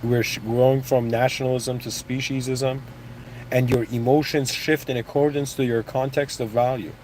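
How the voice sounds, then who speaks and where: A man's voice: medium pitch, flat delivery, normal speed, darkish tone, a little hiss or hum, rough recording. A man born in Iran and raised in Canada, giving a casual, rambling motivational talk full of restarts and asides. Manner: rambling, with restarts and "you know what I mean". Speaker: motivational speaker.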